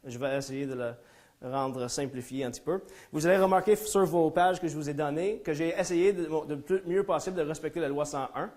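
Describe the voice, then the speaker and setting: A man from Ontario with an English-speaking accent, giving a talk in French to a small room. His voice sits a little high for a man, and he hesitates often, restarting phrases and filling gaps as he works through his points. He mixes in dry jokes at his own expense. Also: steady tone, a little fast, darkish tone. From Ontario, Canada. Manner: hesitant, many fillers and restarts, self-deprecating humor. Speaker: lecturer. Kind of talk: live conference talk. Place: lecture room before a small audience.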